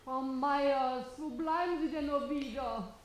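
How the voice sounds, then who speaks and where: An actress playing an impatient, querulous card player in a German radio play, sarcastic and put out. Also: female voice, sits high, steady tone, unhurried, slight background noise. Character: impatient, snippy woman bridge player.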